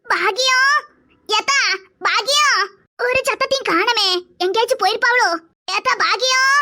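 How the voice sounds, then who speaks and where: A woman's voice: extremely high pitch, normal speed, heavily acted. A woman voicing an affectionate elderly grandmother in a cartoon, speaking with a southern Tamil Nadu accent and tender endearments, reluctant to impose. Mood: cautious. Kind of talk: cartoon character dialogue. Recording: very loud background noise.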